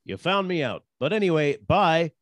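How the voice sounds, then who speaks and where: A man's voice: on the high side, lively intonation, moderate pace, a bit bright, no echo. A man playing a wry, exasperated, wisecracking private detective boss in an old-time radio show, with a sardonic, hard-boiled manner. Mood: dry, dismissive.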